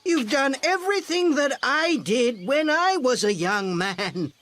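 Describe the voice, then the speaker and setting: Female narrator as crafty old man, storybook tale, slow delivery, dramatic pauses, widely varied intonation. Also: high voice, lively intonation, a bit bright, a little hiss or hum, voice pushed up. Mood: excited. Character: wily old father-in-law, secretive and persuasive.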